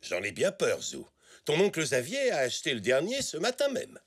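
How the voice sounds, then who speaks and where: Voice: mid-range voice, wildly swooping pitch, normal speed, husky. An actor voicing a friendly, good-humoured adult zebra shopkeeper in a French children's cartoon, speaking expressively. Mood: apologetic. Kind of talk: animated children's cartoon dialogue, French dub.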